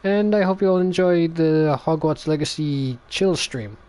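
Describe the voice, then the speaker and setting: A man in his thirties with a slightly higher-pitched voice talks informally to viewers as a game streamer. His delivery is halting and casual, captured on a headset mic. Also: darkish tone. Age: 30s. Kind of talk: casual stream intro chat.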